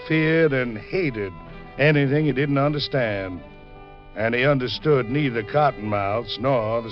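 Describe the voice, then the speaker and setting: Guy doing a wry backwoods yarn spinner on old radio, medium pitch, dull lo-fi recording. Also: natural voice. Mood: scornful, wry. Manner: folksy, wry storytelling. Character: folksy backwoods storyteller, wry and unhurried. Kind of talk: 1940s radio drama narration. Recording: muffled old radio broadcast. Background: musical score.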